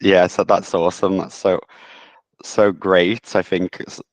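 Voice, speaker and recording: A man with a very low voice hosts a podcast interview. He talks loosely, with plenty of "like" and "um," and keeps up a steady stream of supportive "yeah"s. The sound is dry and close, with no room around it. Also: measured pace, no echo. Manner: loose, filler-heavy, encouraging backchannels. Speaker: podcast host. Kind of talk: casual interview chat.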